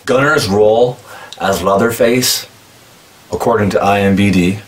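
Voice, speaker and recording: A man in his forties talking casually and enthusiastically about horror cosplay props in a cluttered room, filmed from a distance. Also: lowish voice. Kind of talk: casual show-and-tell vlog. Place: indoor room packed with horror collectibles.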